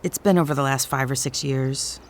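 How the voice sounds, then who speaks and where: A woman's voice: very deep voice, brisk. A woman playing a florid, dramatic romance novelist wounded by divorce, in an audio comedy drama. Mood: downcast, self-pitying. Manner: theatrical, florid.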